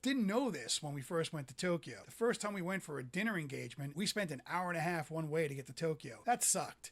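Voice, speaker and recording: A man's voice: fairly high pitch, fairly bright tone. A middle-aged man from the United States talking in a casual vlog, blunt and opinionated, swearing freely as he rambles. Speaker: vlogger. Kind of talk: casual, profane monologue.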